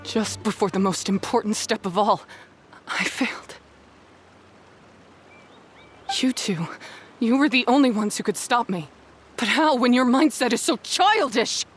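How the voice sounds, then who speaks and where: A woman's voice: a little high, lively intonation, moderate pace, soft background music, natural voice. A woman voicing a proud, bitter young beast tamer in a video game, curt and defiant in a dramatic way. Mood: bitter defeat.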